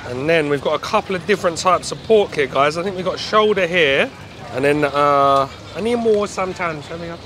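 A man, vlogging chattily and enthusiastically about street food, talking into a camera held at arm's length. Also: on the high side. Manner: chatty, enthusiastic, addresses viewers as guys. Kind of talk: casual street food vlog. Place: outdoor night market. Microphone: handheld camera at arm's length. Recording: faint outdoor market noise.

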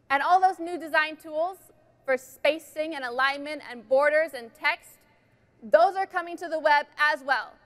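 A woman's voice: high voice, fairly bright tone. A woman giving a polished, enthusiastic scripted keynote presentation on a large conference stage.

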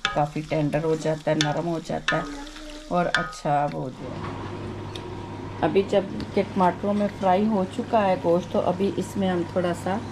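A woman with a sore, hoarse throat talks chattily through each cooking step, her voice sitting on the low side. Sizzling and frying from the pan come through clearly under her voice. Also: flat delivery, unhurried, background noise. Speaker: cooking channel host. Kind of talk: home cooking demonstration. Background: frying and sizzling in a kitchen.

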